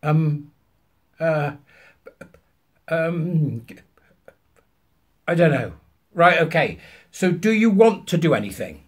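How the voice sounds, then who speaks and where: Husky, high-pitched British man in his fifties. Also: sing-song, takes it slowly, pauses often. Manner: emphatic, acting out both sides of a conversation. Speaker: vlogger. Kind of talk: animated anecdote told to camera. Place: small furnished room at home, indoors.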